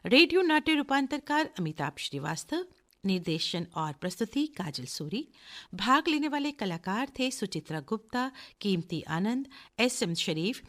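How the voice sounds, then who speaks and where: A woman's voice: mid-range voice, fairly bright tone. A woman radio announcer reading closing credits in a formal, scripted broadcast style.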